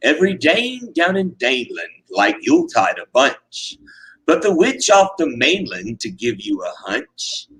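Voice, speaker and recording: A man's voice: medium pitch, huge pitch swings, a little slow, fairly bright tone, breathy edge, poor audio. A man narrating rhyming comic verse live, in a theatrical, emphatic, sing-song manner. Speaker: performer, narrator.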